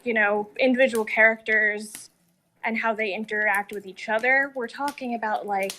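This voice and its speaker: Bright, higher-pitched woman in casual discussion. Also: fairly even intonation, moderate pace, poor audio.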